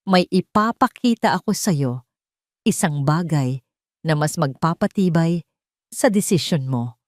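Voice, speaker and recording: Ambitious mistress for audio drama, read by a female narrator; calculating, probing, expressive pitch, switches between Tagalog and English. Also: a little slow, no echo, studio quality. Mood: intent, vulnerable.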